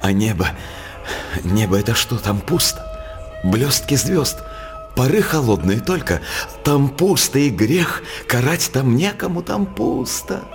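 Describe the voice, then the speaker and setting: An actor playing a sly, cynical court jester and barber, speaking insinuating verse in a theatrical radio drama. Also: male voice, mid-range voice, lively intonation, unhurried, over music. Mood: cynical musing.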